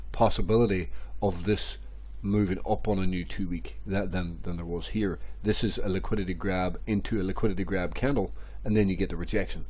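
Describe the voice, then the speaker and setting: A man, hoarse and stuffy from a cold, rambling through crypto chart analysis with many repeats and fillers. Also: low voice, darkish tone. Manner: rambling, repeats phrases, many ums and restarts. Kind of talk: casual screen-share market commentary.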